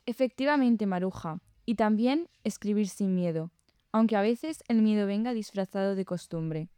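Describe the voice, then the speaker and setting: Audio drama casting: actress for pensive, committed woman writer. Clean, warm, composed voice with quiet resolve. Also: mid-range voice, moderate pace, clear, resonant tone, dry recording, close to an everyday voice. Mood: resolute. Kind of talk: scripted audio drama dialogue.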